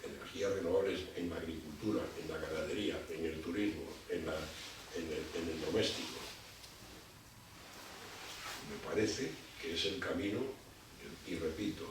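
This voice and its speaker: Deep-voiced man lecturing in an echoey hall. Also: fairly even intonation. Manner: halting, repeats words while thinking, explanatory. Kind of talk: public lecture. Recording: distant mic in an echoey hall with background noise.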